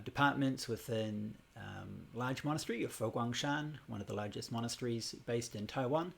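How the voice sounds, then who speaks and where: A man from New Zealand in his forties, teaching a Buddhism class over a casual livestream in a relaxed, rambling way with many fillers and restarts. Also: medium pitch, gravelly, a little hiss or hum. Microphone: computer or phone livestream.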